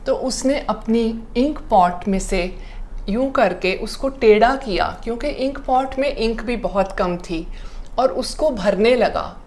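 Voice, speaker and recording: Slow-paced Indian woman storyteller. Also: a little high, darkish tone, slight background noise. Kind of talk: narrating an inspirational story conversationally.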